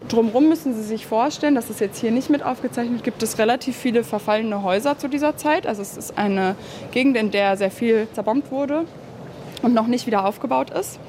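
A woman's voice: a little high, brisk, darkish tone. A woman tour guide explaining to a group, recorded on location in a railway station corridor. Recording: noisy on-location recording with ambience. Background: station ambience.

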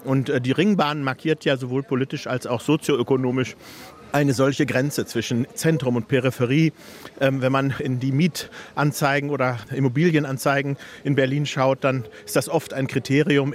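Guy who runs an arts festival, mid-pitched voice, explaining his thinking in an interview. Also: steady tone, moderate pace. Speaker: artistic director of a festival. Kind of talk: considered interview statement.

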